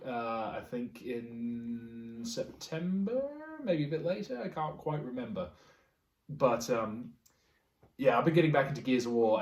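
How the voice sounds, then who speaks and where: A man with a mid-pitched voice hosts a casual group chat. He talks conversationally, feeling his way through sentences. The sound is echoey and a little far from the microphone. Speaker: podcast host. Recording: roomy echo, distant from mic.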